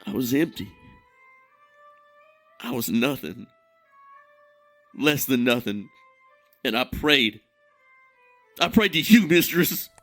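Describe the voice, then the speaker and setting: Tormented fantasy widower, mid-pitched, self-loathing, dramatic pauses, audio drama, music behind. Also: male voice, lively intonation, fairly bright tone, no echo. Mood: bleak shame. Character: ashamed, grieving man haunted by his own weakness.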